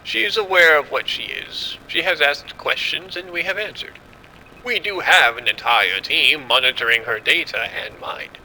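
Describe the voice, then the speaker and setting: A man playing a confident scientist in an audio drama, explaining in a measured way. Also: a little high, expressive, sharp tone, a little hiss or hum, exaggerated voice, low-quality recording. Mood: assured, proud. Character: confident male scientist running a secret military experiment.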